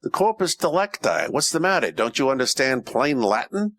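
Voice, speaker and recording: A man acts a world-weary, wisecracking private eye of ancient Rome in a radio detective parody. His mid-pitched voice swings expressively through tough, wry patter. The sound is dry and close. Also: very animated, moderate pace, fairly bright tone, no room sound. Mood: brisk, sardonic. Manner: wry, tough-talking, slangy. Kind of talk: old-time radio detective parody narration and dialogue.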